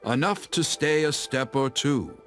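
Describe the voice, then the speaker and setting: An actor playing a theatrical teahouse storyteller in a game, dramatic and flowery, savoring each phrase. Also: male voice, medium pitch, animated, measured pace, fairly bright tone, soft background music. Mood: awed, measured. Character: theatrical male teahouse storyteller spinning grand tales. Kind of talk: storytelling in an English game dub.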